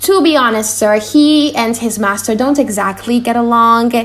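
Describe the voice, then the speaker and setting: A woman's voice: fairly high pitch, normal speed, lightly acted. A woman playing a cheeky, rambling young male servant clown in an audiobook drama, often breaking off mid-thought. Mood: eager, bumbling.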